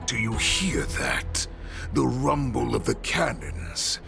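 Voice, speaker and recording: A man's voice: mid-range voice, sing-song, slow, vocal fry, exaggerated voice. An actor playing a menacing, bloodthirsty male wolf warlord who snarls threats and chants a battle creed in a game cutscene. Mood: proud. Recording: game audio with music behind.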